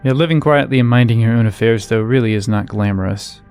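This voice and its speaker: A man's voice: medium pitch, quick pace, a little mellow, clear, resonant tone, faint music behind. A man co-hosting a podcast, adding brief wry remarks in casual discussion.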